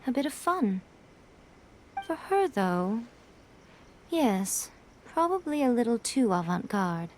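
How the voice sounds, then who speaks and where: High-pitched, poised, teasing game confidante. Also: female voice, very animated, a little hiss or hum. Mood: playful. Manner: playful, knowing, measured. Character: poised, teasing, worldly woman confidante of a god. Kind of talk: video game character dialogue. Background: background music.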